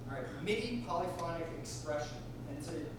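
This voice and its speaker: A man's voice: fairly high pitch, animated, a little fast, noisy background. A young man teaching music technology, explaining chords and gear while playing a pad controller in a live lecture hall. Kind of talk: live music production demo. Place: college lecture hall, indoors. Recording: echoey hall, distant mic. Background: synth music playing loudly.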